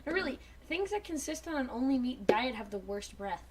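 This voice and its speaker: High voiced girl riffing casually, super animated, sarcastic, bit of hiss and clicks. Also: a little fast. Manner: playful, sarcastic. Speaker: reviewer on a group show. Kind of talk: joking casual commentary on fan fiction. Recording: home recording, faint noise, clicks.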